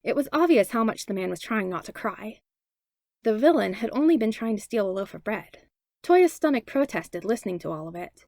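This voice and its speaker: A woman's voice: mid-range voice, animated, fairly quick, a little mellow, no room sound, studio quality. A woman reading a story aloud as scripted narration. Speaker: podfic reader.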